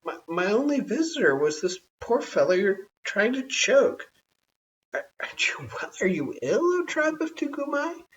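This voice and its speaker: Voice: mid-range voice, big pitch swings, moderate pace, recorded from a distance, muffled recording, pitched above the performer's own voice, close to an everyday voice. An adult storyteller voicing a beleaguered, fussy caveman father in storybook dialogue. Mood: flustered.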